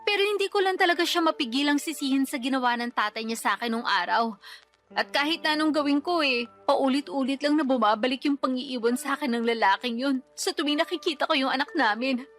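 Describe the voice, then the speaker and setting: A woman's voice: high-pitched, fast, a bit bright, faint music behind. Desperate young mother. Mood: pleading. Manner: pleading, polite, defensive. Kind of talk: radio drama acting.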